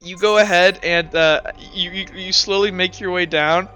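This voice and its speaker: A man narrating and refereeing a tabletop role-playing game among friends, in an informal, animated storytelling way. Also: high-pitched, moderate pace, faint music behind, rough recording. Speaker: game master.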